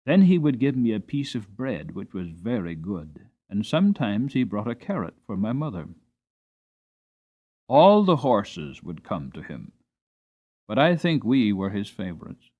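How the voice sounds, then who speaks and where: A man narrating a storybook dramatically, with deliberate, expressive phrasing. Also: lowish voice, narrow, tinny audio. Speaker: audiobook narrator.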